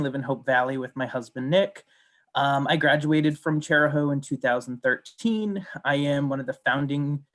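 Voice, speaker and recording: A man's voice: mid-range voice, fairly bright tone. A young man in his twenties from Rhode Island, a youth task force director, politely introducing himself at an online meeting. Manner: polite, measured, with fillers. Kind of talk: self-introduction at a video meeting.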